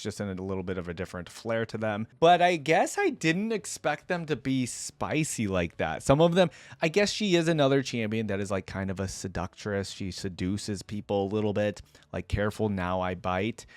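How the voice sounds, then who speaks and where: A man with a medium-pitched voice talks as the host of a fan reaction video. He rambles conversationally, working out his thoughts as he goes. The sound is dry, with very little room around the voice. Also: no echo. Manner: rambling, conversational, thinking aloud. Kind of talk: casual fan commentary.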